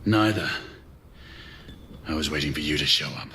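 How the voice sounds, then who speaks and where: An actor playing a hardened, desperate knight in a video game, speaking in an intense, threatening way. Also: male voice, very deep voice, normal speed, bright, forceful tone, a little hiss or hum, full character voice. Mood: cold, menacing. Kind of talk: cinematic video game acting.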